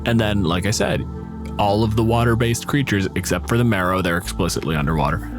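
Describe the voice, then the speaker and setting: A man's voice: lowish voice, fairly quick, music in the background. A man hosting a podcast, chatting about tabletop gaming in an informal, wry way. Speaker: podcast host.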